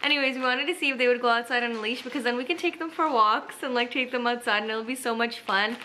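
A woman's voice: fairly high pitch, quick pace, sharp tone, a little hiss or hum. A young woman vlogging casually, chatting upbeat with lots of fillers, filmed on a handheld camera. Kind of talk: casual vlog chat. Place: shopping mall and home living room. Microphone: handheld camera close up.

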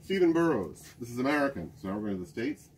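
A man curator chatting casually about clothes on a rack, often pausing to search for names, recorded by a camera in a museum basement storage room. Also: mid-range voice, big pitch swings, slight background noise, poor audio. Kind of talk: casual show-and-tell chat.

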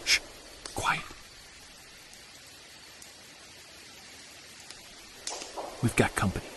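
An actor playing a tense, commanding Bigfoot hunter in an audio drama, terse and authoritative, speaking with hushed urgency. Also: male voice, a little fast, background noise, lightly acted. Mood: hushed alert.

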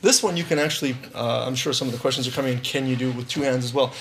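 A man's voice: medium pitch, racing, a bit bright, a little hiss or hum, rough recording. A man in his thirties teaching a live drum lesson on a studio stage, upbeat, counting rhythms aloud, and losing his voice.

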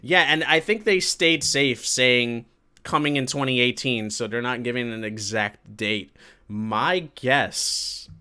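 A man, hosting a livestream and chatting casually with viewers in an animated, colloquial way. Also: medium pitch, slow pace, smooth. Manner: animated, colloquial, reacting to chat. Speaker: livestream host. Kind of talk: casual chat with live viewers. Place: indoor room with green screen.

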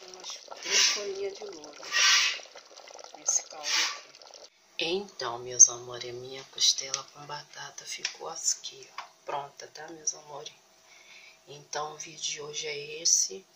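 A woman's voice: sits low, measured pace, noisy background. A Brazilian woman with a cold, talking homely and casual as she films her cooking and groceries in a home kitchen on a phone, repeating endearments to her viewers. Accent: Brazilian Portuguese. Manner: homely, repeats "meus amores" and "tá" constantly. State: has a cold, congested voice. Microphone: phone camera held over food, speaker off screen.

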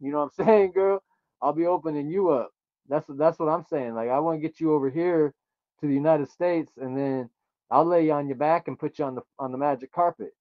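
A man with a slightly high voice talks in casual, flirty banter. He is effusive and crude, full of slang. The sound is dry and a bit muffled. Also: a little fast, no room sound. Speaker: live streamer making a prank call.

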